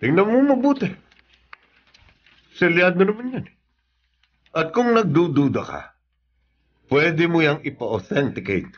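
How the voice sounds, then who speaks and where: An actor playing a remorseful but firm middle-aged father in a Filipino radio drama, speaking solemnly and deliberately. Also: a little high, lively intonation, lo-fi sound.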